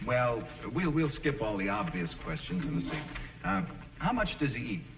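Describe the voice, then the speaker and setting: An actor playing a flustered, wry door-to-door survey taker in a 1950s television sitcom. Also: male voice, mid-range voice, sing-song, moderate pace, big-room sound, noisy background. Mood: wry, puzzled. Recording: muffled old television soundtrack, distant microphone.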